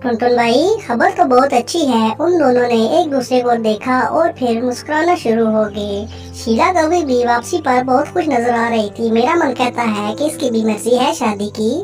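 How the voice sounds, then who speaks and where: A woman's voice: a little high, normal speed, hardly any pauses, darkish tone, lightly acted, rough recording. A woman voicing a friendly, helpful female little bird in a cartoon moral story, reassuring and cheerful. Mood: pleased. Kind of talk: animated moral story dialogue. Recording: music under the voice.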